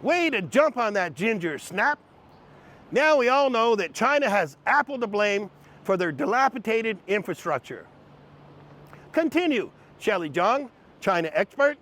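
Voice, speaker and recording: A man's voice: sits high, sing-song, measured pace, fairly bright tone. A man hosting a commentary video, mocking his subjects in a snarky, sarcastic way. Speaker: commentary host.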